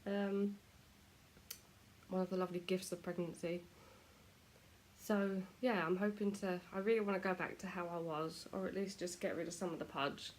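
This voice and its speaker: A woman's voice: fairly low pitch, steady tone, moderate pace, slight background noise, low-quality recording. Chatty British woman with a cold. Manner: chatty, self-deprecating. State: stuffy nose from a cold. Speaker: vlogger. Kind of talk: casual chat to camera.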